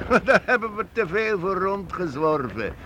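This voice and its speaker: An actor playing a seasoned wandering master in a radio play, a wry and commanding leader who speaks emphatically. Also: male voice, high voice, sing-song, measured pace, a bit bright, a little hiss or hum, heavily acted. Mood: wry, teasing. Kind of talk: radio drama acting.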